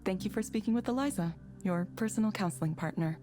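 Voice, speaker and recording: A woman voicing a calm, courteous AI counselling program in a visual novel, in a measured, polite, scripted manner. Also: medium pitch, music in the background, lightly acted.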